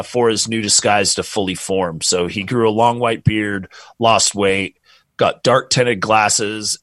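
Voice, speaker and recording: A man's voice: lowish voice, fairly bright tone, no echo. A man casually telling a true crime story on a podcast, rambling and swearing freely.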